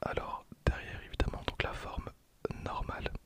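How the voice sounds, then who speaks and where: A man in his twenties whispers breathily and softly into a close microphone, hosting ASMR commentary with an unhurried, repetitive delivery. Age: 20s. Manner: soft, unhurried, repeats phrases. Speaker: ASMR creator and host. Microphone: close handheld-style stereo recorder.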